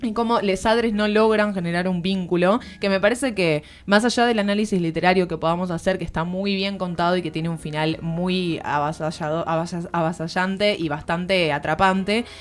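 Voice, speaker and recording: A woman's voice: on the low side, moderate pace, hardly any pauses. A woman with an Argentine accent giving a conversational book review as a radio columnist, explaining earnestly and searching for words. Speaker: literary columnist on a radio show. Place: radio studio.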